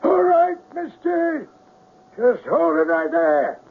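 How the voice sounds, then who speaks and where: An actor playing a suspicious old retired sheriff, stern and folksy, high and husky, in a 1970s radio drama. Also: male voice, big pitch swings, slow, full character voice. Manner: stern, folksy, giving orders. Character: suspicious, commanding retired small-town sheriff, an old man. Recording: narrow-band 1970s radio recording.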